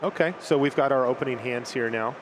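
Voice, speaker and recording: A man commentating a card game tournament in a lively, conversational way, with filler words here and there. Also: mid-range voice, a little fast, crowd noise. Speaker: esports commentator. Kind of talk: play-by-play commentary.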